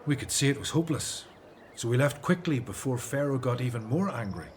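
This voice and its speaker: A man's voice: medium pitch, lively intonation, brisk, close to an everyday voice. A man's middle-range voice from an actor plays an earnest, steadfast Hebrew leader. He delivers biblical radio drama lines with gravity. There is slight background noise. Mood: grave, troubled. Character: Moses, earnest resolute Hebrew leader of his people. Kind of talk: religious radio drama acting.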